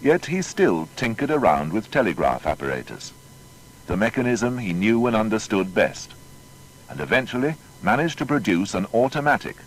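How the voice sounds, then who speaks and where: A man's voice: sing-song, normal speed, low-quality recording. A man narrating a scripted biographical documentary in a formal, dramatic storytelling manner. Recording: faint noise and low rumble.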